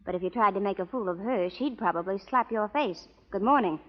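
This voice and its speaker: An actress playing a prim, spirited young schoolteacher from the East in an old-time radio western. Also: female voice, mid-range voice, brisk, lightly acted. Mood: tartly mocking. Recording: dull, reverberant vintage radio broadcast.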